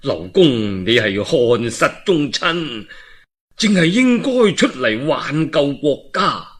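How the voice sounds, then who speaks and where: A man acts out an elderly governor in a historical storytelling piece. He is weary and humbly insistent, declaiming slowly and earnestly. The voice is husky and moves widely in pitch. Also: very animated, full character voice. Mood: solemn, weary.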